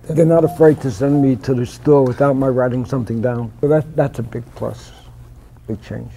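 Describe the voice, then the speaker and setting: Man with a dark, mellow voice. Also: medium pitch, steady tone, moderate pace, gravelly, slight background noise. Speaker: program participant.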